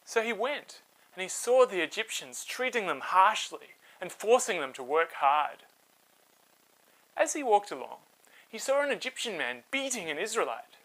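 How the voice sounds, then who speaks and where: A man tells a children's story as host, with a bright, forceful voice. His pitch moves freely and expressively, giving the scripted narration a dramatic, animated feel. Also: normal speed, rough recording.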